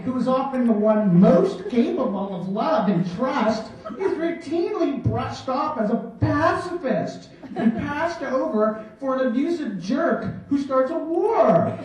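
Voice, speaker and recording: A man's voice: very high voice, a little mellow. A man giving a theatrical live poetry reading on stage, with a mock-serious, declamatory delivery. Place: small theater in New York, before a laughing audience. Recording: echoey hall, distant dull microphone.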